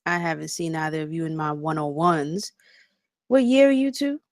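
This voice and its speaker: A woman's voice: low voice, normal speed, dry recording. Woman reading a cocky young frat bro, dry sound. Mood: cocky, probing. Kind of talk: table read of a comedy screenplay.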